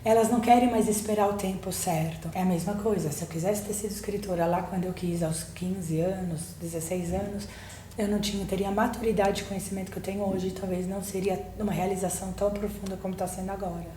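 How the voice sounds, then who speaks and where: A woman's voice: mid-range voice, steady tone, fast, mellow. A woman, a psychologist guest, telling personal stories casually and acting out quotes as she goes. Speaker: guest psychologist and book co-author. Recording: roomy echo, faint background noise.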